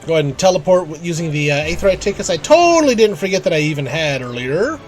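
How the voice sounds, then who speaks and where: A man with a fairly high voice gives casual live commentary as he plays a game. He mutters wry asides to himself. Soft game music sits behind him. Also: very animated, fast talker. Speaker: video game streamer.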